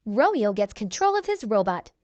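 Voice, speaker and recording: A woman reading a children's storybook aloud in animated narration. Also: medium pitch, moderate pace, no echo, muffled recording.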